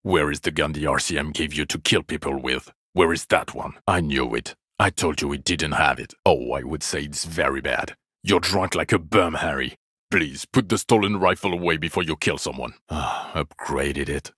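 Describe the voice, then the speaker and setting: Very deep-voiced, bitter police detective. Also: male voice, moderate pace, bright, forceful tone, breathy edge, no room sound, studio quality, heavily acted. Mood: exasperated, scornful, then weary contempt. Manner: sardonic, accusatory, profane. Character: bitter, exasperated male police detective berating his drunk partner. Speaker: video game voice actor. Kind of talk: dramatic game dialogue, scolding.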